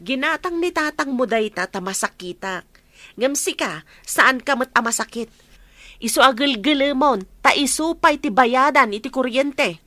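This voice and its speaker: A woman's voice: high voice, animated, normal speed, sharp tone, no room sound. A woman playing a bossy, sickly, self-pitying aunt in an Ilocano radio drama, scolding in an Ilocano Filipino accent. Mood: nagging. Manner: scolding, demanding.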